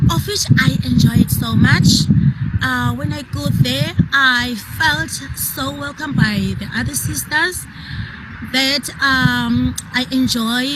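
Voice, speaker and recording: A woman's voice: high voice, heavy background noise. A woman with a South African accent, a teacher giving a simple, heartfelt video testimonial.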